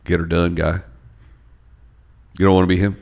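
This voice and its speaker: A man's voice: low-pitched, little pitch movement, normal speed, vocal fry, a little mellow. A man from North Carolina hosting a podcast, chatting casually in a joking, teasing way. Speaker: podcast host.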